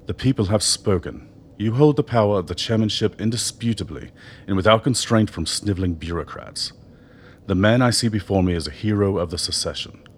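An actor as a deep-voiced, silver-tongued older mentor. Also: male voice, natural voice. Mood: commanding, urging. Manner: eloquent, measured, grandiloquent. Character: older male mentor doctor, silver-tongued, persuasive political strategist. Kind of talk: radio drama acting. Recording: noticeable background noise.

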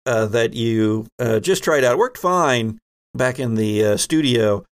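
Male game master, medium pitch, improvised storytelling with a halting, searching delivery. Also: animated. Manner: halting, pauses mid-sentence to find words. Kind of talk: improvised tabletop game narration.

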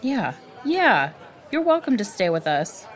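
A woman's voice: medium pitch, very animated, measured pace, a faint crowd. A woman playing a friendly, eager young adventurer with a casual, upbeat manner in a fantasy audio drama. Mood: friendly, relieved.

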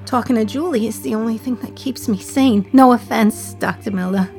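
A woman's voice: fairly high pitch, moderate pace, a little mellow, soft background music, lightly acted. An actress in her thirties playing a condemned woman in prison, reflecting in a wry, sardonic radio-play monologue. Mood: reflective.

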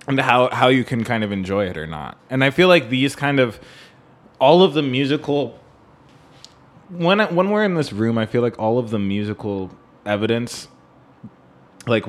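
A man in a casual music discussion, talking in a rambling way and often checking for agreement with "right?". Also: fairly low pitch. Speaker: podcast co-host.

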